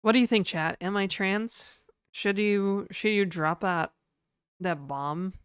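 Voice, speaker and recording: A Canadian woman streaming live, chatting casually and jokingly with her viewers in a somewhat hoarse voice. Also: slow, no room sound, clean studio sound. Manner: rambling, joking, slips into mock French and a put-on high e-girl voice.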